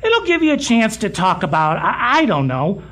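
An actor playing a fussy, condescending middle-aged museum boss in a comedic audio drama, with a pompous, stammering delivery. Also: male voice, sits high, huge pitch swings, moderate pace, fairly bright tone, exaggerated voice. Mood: patronizing. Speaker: museum supervisor.

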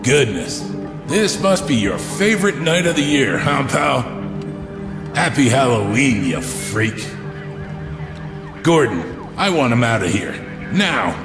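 A man playing a gruff, indignant police commissioner in an audio drama, blunt, exasperated and scornful. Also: mid-range voice, big pitch swings, slow pace, pauses often. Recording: echoey hall reverb, music behind.

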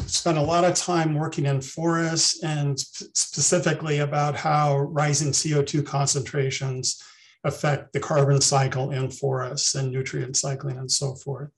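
An older man, a retired biology professor, giving an online talk over a video call, halting with many ums and restarts. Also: on the high side.

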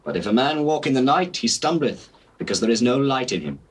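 A solemn, compassionate holy teacher in a scripture audio drama. The voice is British and husky, at a middle pitch, with measured, commanding declamation. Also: male voice, expressive, poor audio. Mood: solemn, assured. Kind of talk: dramatized scripture acting, theatrical.

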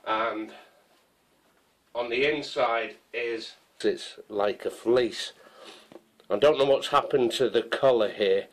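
A man in his fifties, casually showing his camping gear in a small conservatory, rambling and unhurried with many ums and restarts. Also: medium pitch, distant mic. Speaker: survival gear vlogger. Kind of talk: casual show-and-tell. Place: small conservatory full of kit. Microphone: camera mic from a few feet away.

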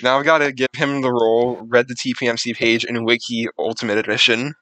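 A man chatting casually in a voice call who speaks in a monotone even when praising. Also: mid-range voice, fairly quick, poor audio. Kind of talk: casual voice chat.

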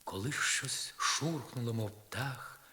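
A man narrating poetry in a solemn, measured and expressive dramatic style, on a crackly vinyl record. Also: mid-range voice.

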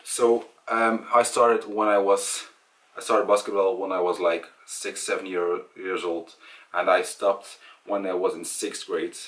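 A young man talking casually to camera about himself, in a hesitant, halting way. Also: low-pitched, little pitch movement, fairly bright tone. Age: young adult. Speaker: vlogger. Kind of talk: casual personal storytelling to camera. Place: small indoor room at home. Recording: voice distant from the mic.

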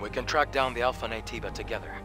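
A calm young man guiding a companion through a ruined city in a game cutscene, as an actor voices him. He talks in a steady, reassuring, upbeat way at a middle pitch. Game music plays behind him. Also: very fast, close to an everyday voice. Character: young male survivor guide, calm and encouraging. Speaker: companion character. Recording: game soundtrack playing behind.

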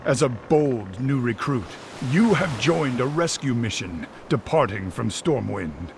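A man narrating a heroic fantasy game in a solemn, grand, measured scripted style. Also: mid-range voice, sing-song, vocal fry, noisy background.

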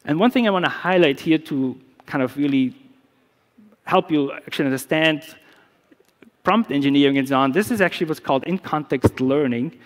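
A man's voice: on the high side, fairly quick. German man presenting in a big hall. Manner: relaxed, explanatory, many fillers and restarts. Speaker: security researcher. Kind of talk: conference talk with live demo. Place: large conference stage. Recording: long reverb of a big hall.

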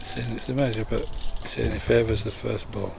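A man with a gravelly, creaky voice talks through a bowls match as it unfolds, chatty and explanatory. He is recorded outdoors on a camera mic, with clear background noise and birds around him. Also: on the low side, level tone, moderate pace. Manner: chatty, explaining the play as it happens. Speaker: commentator filming the match. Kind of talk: casual amateur sports commentary. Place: outdoors at a bowling green.

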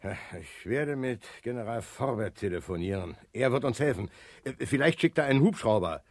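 A man playing a flustered, hesitant small-town sheriff with a husky voice in a children's radio play. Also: mid-range voice, expressive, moderate pace, no room sound.

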